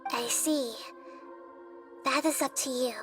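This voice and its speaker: A small, wise goddess in a game cutscene. The voice is very high and childlike, measured and gently reassuring, with soft music beneath. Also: female voice, fairly even intonation. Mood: calm, understanding. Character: wise, gentle, childlike little female deity. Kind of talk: video game cutscene acting.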